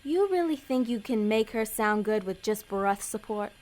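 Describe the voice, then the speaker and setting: A woman playing a scheming, bossy female agent of Hell in a comedic audio drama, brisk, persuasive and easily exasperated. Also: medium pitch, lively intonation, darkish tone, close to an everyday voice.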